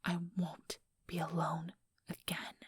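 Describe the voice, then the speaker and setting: A woman's voice: fairly low pitch, completely flat delivery, slow, a little hiss or hum. A woman playing an ambitious, coldly menacing female vampire in a whispered ASMR role-play, talking in a taunting, mock-sweet way close to the microphone. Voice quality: soft, breathy whisper. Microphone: close, dry microphone.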